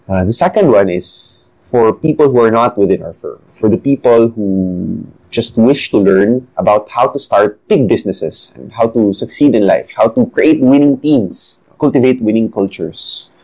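Solo podcast host: Filipino-accented man, 30s, slightly low voice, reflective and chatty, lapel mic in a small room. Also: a little mellow, low-quality recording. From Philippines. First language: Bisaya. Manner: reflective, conversational, addresses viewers as guys. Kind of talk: solo talk to camera. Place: small indoor room at a desk.

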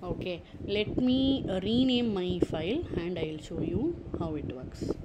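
A woman's voice: lowish voice, expressive, unhurried. Woman tutor, noisy recording. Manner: explanatory, repeats points step by step. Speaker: tutorial presenter. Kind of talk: informal screen-share software tutorial.

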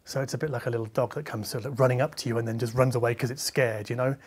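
Man casually explaining, medium-pitched voice. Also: fairly even intonation, rapid-fire, darkish tone, slightly rough. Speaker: hi-fi reviewer and video host. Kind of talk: conversational product review to camera. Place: medium-sized living room listening space, indoors.

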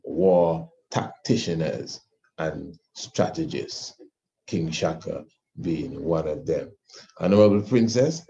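A man teaching an informal history class, speaking deliberately and haltingly with drawn-out words. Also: low voice, mellow. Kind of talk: informal lesson discussion. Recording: muffled, noisy online call.

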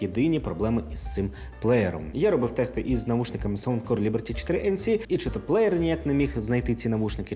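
A man's voice: mid-range voice, mellow. A man presenting a product review in a conversational, informal way, addressing viewers as friends. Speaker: presenter of audio gear reviews for a store.

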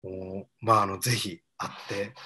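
A man's voice: low-pitched, level tone, moderate pace, bright, forceful tone, breathy edge. A man with a Kansai accent, a guest on a casual video call, explaining his views emphatically with plain sayings. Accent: Kansai Japanese. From Osaka area. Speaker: guest, business owner. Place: indoors in a room at home.

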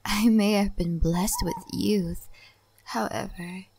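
A woman playing an ancient, wise and cryptic spirit-realm lady in a soft, breathy ASMR roleplay. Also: medium pitch, fairly even intonation, noisy background. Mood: gentle, knowing. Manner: soft, breathy, often whispering, unhurried.

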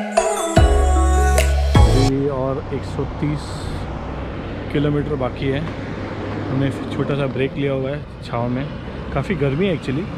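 A man's voice: medium pitch, big pitch swings, takes it slowly, pauses often, over loud music. A man in his forties vlogging a long motorcycle ride in a loose, chatty way, full of fillers, on an action camera outdoors. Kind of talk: casual travel vlog narration. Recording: loud road and wind noise.

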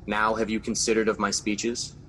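A man's voice: lowish voice, little pitch movement, moderate pace, big-room sound, a little hiss or hum, lightly acted. An actor playing Macbeth, a guilt-ridden scheming king, in a classic radio drama. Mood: commanding. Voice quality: brooding, intense.

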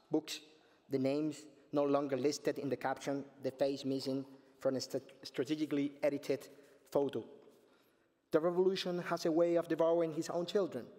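A man's voice: on the high side, dark tone. Cuban man reading a speech in a hall. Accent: Cuban Spanish. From Cuba. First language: Spanish. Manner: earnest, measured, with frequent restarts. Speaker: panel speaker, artist. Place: conference stage at a podium. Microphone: podium microphone. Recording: long hall reverb.

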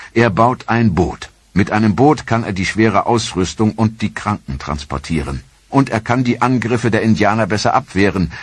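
A man narrating a history documentary in a measured, even storytelling delivery. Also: low voice, breathy edge, no room sound.

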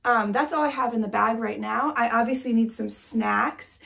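A woman's voice: a little high, normal speed, vocal fry, fairly bright tone, a little hiss or hum. A young woman in her twenties, congested and chatty, talking to camera in a nursery room. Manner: chatty, with many "like"s and light laughs. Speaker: vlogger. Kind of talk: casual chat to camera.

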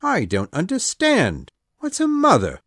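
An eager, slow-witted pirate henchman, acted by a woman reading a storybook, with pitch that leaps about wildly. He exclaims and asks in a puzzled way, in a dry recording. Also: wildly swooping pitch, no echo. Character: eager, dim, puzzled pirate sidekick man.

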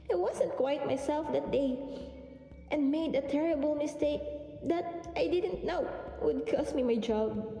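A woman's voice: high-pitched, mellow. A woman playing a meek, deferential former personal assistant in a radio drama, hesitant and apologetic. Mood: apologetic, worried. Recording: echoey reverberant room, faint music behind.

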